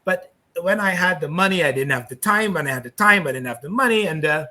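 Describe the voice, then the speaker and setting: A man's voice: on the high side, lively intonation, fast, bright, forceful tone. A middle-aged man from Barbados hosting a live online talk show, upbeat and effusive, talking over a video call setup. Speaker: host.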